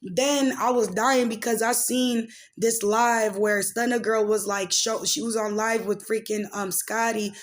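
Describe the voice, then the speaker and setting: A woman's voice: fairly high pitch, fairly even intonation, measured pace. A woman in her late twenties hosting a casual gossip and life-update podcast, rambling along in loose slang peppered with filler words. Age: late 20s. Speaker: podcast host and commentator. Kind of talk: casual gossip commentary and personal chat.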